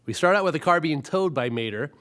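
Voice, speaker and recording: A man telling a cartoon story as a pitch, in simple present tense with exclamations and spoken sound effects. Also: lively intonation, quick pace, a bit bright.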